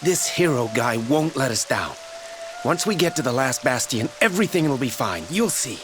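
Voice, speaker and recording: Actor as reassuring refugee man, medium pitch. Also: animated, moderate pace, natural voice. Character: encouraging, steadfast refugee man. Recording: game music and rain behind.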